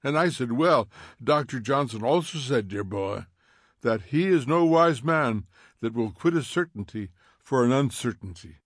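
A man voicing a smug, needling fire captain who mocks in quick theatrical bursts, in an audiobook reading. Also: medium pitch, animated, no echo, studio quality. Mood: taunting. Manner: taunting, theatrical, fast mocking bursts.

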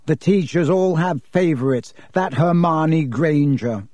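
A man's voice: a little high, slow, a little mellow, dry recording. A man voicing a spoiled, sulky wizard schoolboy with a whiny, petulant manner.

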